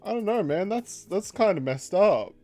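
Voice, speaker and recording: A man casually chatting on a livestream while he draws, reading out chat messages, laughing often and jumping up in pitch for jokes. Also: expressive, moderate pace, darkish tone, soft background music.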